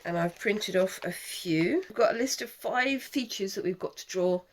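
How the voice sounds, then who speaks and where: Woman, croaky failing voice, chatty and self-mocking, casual craft vlog host. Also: medium pitch, moderate pace. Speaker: channel host, mother.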